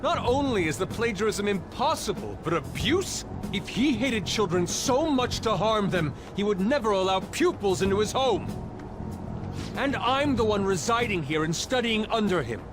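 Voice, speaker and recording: A man's voice: high voice, lively intonation, normal speed. An actor voicing a proud, formal young art student, loyal to his teacher, with theatrical diction in an English game dub. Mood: indignant. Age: young adult. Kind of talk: dramatic English video game dub acting. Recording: music behind the voice.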